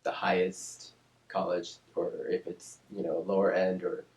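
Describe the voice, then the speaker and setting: A man who grew up in Oakland, California, speaking from a deep, low register. His first language is Spanish, and he talks in a measured, reflective way, searching for words with ums and restarts. The recording sounds roomy, with a noticeable echo around him. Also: monotone, creaky, a little mellow. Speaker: interview guest, speech-language pathologist. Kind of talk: reflective casual interview.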